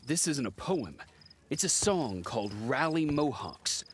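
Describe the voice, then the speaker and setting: An actor playing an excitable, self-concerned gentleman in a video game, with a theatrical, fretful manner. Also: mid-range voice, a little slow, slight background noise. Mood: knowing, musing. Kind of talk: dramatic video game dialogue.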